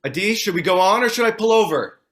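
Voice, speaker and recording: A man's voice: high voice, expressive, fast, fairly bright tone. A man reading the part of an uneasy, sweary father in a screenplay table read. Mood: uneasy, irritated. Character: John, sweary father driving home, uneasy.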